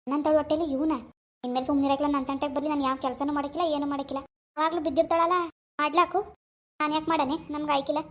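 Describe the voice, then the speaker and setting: A performer voicing a bitter, stubborn elderly mother-in-law in a comic family skit, grumbling scornfully in rapid-fire complaints. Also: sits high, level tone, a bit bright. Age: older.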